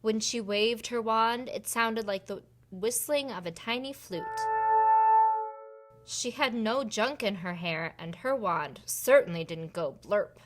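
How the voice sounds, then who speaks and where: A woman reading a picture book aloud for preschoolers in an expressive storytelling manner. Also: medium pitch, slow, faint music behind. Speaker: children's storybook reader and host.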